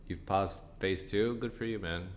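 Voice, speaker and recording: A man hosting a casual live trading stream, croaky and tired, answering chat questions in a relaxed way. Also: slight background noise. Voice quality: croaky, deep from sinus trouble. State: tired, up a long time. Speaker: livestream trading host.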